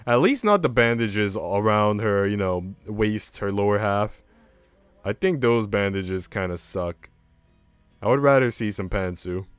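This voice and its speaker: A man's voice: fairly low pitch, expressive, measured pace, clear, resonant tone, dry recording, soft background music. A man livestreaming in casual chat, slangy and bantering with mock outrage.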